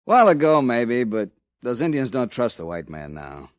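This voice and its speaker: An actor playing a blunt, wary frontier newspaperman in a 1950s radio drama, with a gruff casual drawl. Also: medium pitch, sing-song, moderate pace, no room sound, studio quality, natural voice.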